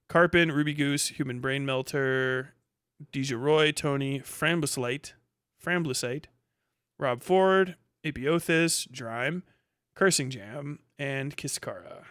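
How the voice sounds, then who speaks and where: A man hosting a casual, unscripted livestream, talking bluntly with profanity, muttering asides and reading things out loud as he goes. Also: medium pitch, clear, resonant tone, no room sound, clean studio sound. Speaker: livestream host. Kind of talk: reads names, stats and posts aloud.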